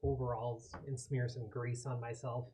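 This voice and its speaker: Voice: mid-range voice, little pitch movement, normal speed, dark tone. Casual game player with background noise. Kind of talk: casual chat.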